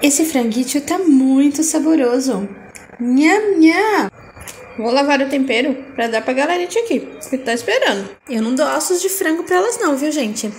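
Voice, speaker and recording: A woman's voice: sits high, expressive, normal speed, darkish tone, a little hiss or hum. A middle-aged woman from Brazil chatting playfully to her phone camera in a home kitchen, narrating her food and coining cute diminutive words. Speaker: vlogger. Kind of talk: casual food vlog narration.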